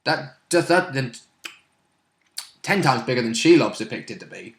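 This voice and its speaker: A man's voice: medium pitch, very animated, a little slow. A man chatting casually as a player looking back on a tabletop role-play session. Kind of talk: casual animated chat. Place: indoor room. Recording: roomy echoing home recording.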